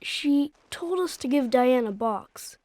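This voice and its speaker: High, earnest little boy. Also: expressive, slow, darkish tone, dry recording. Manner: simple, childlike, earnest. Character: earnest, innocent little boy, one of twins. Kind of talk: video game voice acting.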